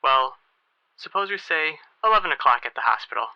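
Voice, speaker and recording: A man's voice: mid-range voice, expressive, brisk, creaky, no echo. A man playing a courteous, businesslike doctor in an old-time radio soap, heard as if over a phone call. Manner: polite, businesslike. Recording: dull, band-limited, like a phone call.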